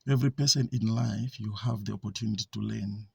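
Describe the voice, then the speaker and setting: A man with a Zambian accent gives an informal talk, pausing often on fillers and restarting his sentences. His voice is gravelly and a little husky, at a middle pitch. The sound is dry and close, with no room echo. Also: steady tone, a bit bright. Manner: hesitant, with frequent fillers and restarts. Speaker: speaker giving a talk.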